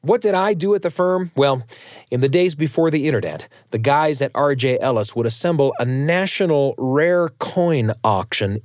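A man's voice: mid-range voice, no room sound, studio quality. A middle-aged American man hosting a radio show, chatting and telling personal stories. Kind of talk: warm-up chat and personal storytelling narration.

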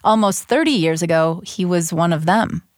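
Measured radio host woman, dry studio sound. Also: fairly low pitch, no echo. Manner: clear, measured, scripted delivery. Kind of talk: scripted radio narration.

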